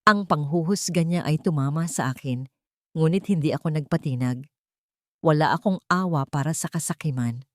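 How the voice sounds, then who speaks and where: A woman's voice: deep voice, moderate pace, dry recording, clean studio sound. A woman with a Filipino accent reading a scripted dramatic story in the first person as a narrator.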